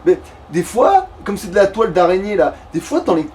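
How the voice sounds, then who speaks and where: High-voiced man, crude gaming banter, distant mic. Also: big pitch swings, brisk, darkish tone. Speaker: let's play co-host. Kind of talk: casual gaming commentary. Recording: distant mic, faint background noise.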